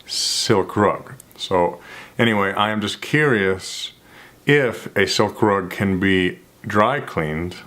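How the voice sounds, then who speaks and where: A man with a somewhat low voice talks unhurriedly, stretching out his phrases. He stutters, repeating first sounds and restarting words, and speaks in an earnest, reflective way to the camera. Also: a bit bright. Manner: measured, earnest, self-reflective. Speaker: vlogger.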